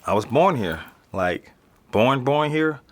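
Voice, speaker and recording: A man's voice: mid-range voice, lively intonation, takes it slowly. A young man with an American accent playing a casual, slangy, streetwise teenage boy being interviewed in a naturalistic audio drama. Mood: matter-of-fact. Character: nonchalant streetwise teenage boy living in an apartment building.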